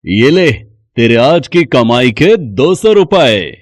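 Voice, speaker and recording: An actor playing a self-satisfied rich merchant in a moral story. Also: male voice, medium pitch, very animated, slow pace, no echo, clean studio sound. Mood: smug.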